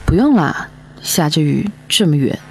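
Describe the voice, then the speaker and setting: An actress in a radio drama playing a lovestruck young student with a coy, pleading manner. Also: female voice, low-pitched, sing-song, normal speed, a little mellow, husky, soft background music, full character voice. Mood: coy, affectionate. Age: young adult. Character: lovestruck, coaxing young student, devoted daughter and girlfriend. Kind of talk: Chinese radio drama acting. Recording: faint hiss, rain-like noise.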